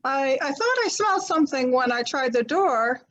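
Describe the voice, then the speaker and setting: A woman's voice: high-pitched, expressive, normal speed, a bit bright, highs cut off. A woman playing a flustered widow in a 1940s-style radio mystery, talking in a breathless, stammering way. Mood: shaken. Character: flustered middle-aged widow, woman, quick to defend herself.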